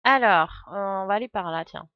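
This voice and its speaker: Female game streamer, mid-pitched, slightly bunged up with a cold, chatty running commentary, muffled dry recording. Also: animated, fairly quick, no room sound. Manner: thinks aloud, chatty asides to viewers. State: has a cold. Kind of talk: casual live game commentary. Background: faint game music.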